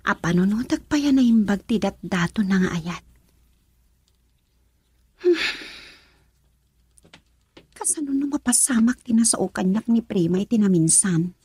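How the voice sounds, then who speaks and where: A woman's voice: medium pitch, animated, measured pace, pauses often, darkish tone, breathy edge, dry recording. An actress voicing a worried young woman brooding in an anxious inner monologue in a radio drama. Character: worried young woman fearing a man's intentions.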